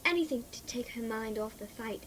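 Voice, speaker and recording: A woman's voice: on the high side, expressive, fairly quick. A woman with a posh English accent reading a scripted, theatrical narration on a homemade cassette recording. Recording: homemade cassette tape, music bleeding in.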